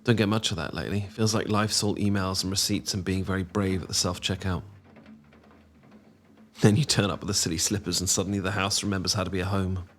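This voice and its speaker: A young British man in an intimate boyfriend roleplay, talking casually to the listener in a teasing, affectionate, sometimes halting and bashful way. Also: low-pitched, level tone, slight background noise. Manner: gently teasing, playful, affectionate. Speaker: audio roleplay performer.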